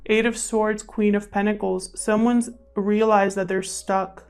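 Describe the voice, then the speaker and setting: A woman's voice: mid-range voice, little pitch movement, unhurried, a little mellow, clear, resonant tone. A woman giving a casual, improvised tarot reading, thinking aloud as she talks to the cards and to her viewers. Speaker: tarot reader, channel host. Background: background music.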